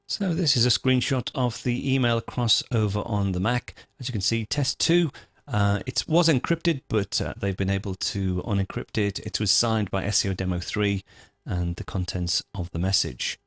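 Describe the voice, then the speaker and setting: A man presenting a software tutorial, talking informally and methodically through each step as he goes. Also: on the low side, moderate pace, dry recording, clean studio sound. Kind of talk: screencast tutorial, unscripted step-by-step narration.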